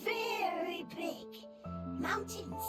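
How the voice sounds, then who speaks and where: Tiny timid game mushroom: extremely high, dragging, broken speech over loud music. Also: female voice, full character voice. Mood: explaining. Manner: halting, broken short phrases. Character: tiny, timid fungus creature struggling with language. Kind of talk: video game character acting.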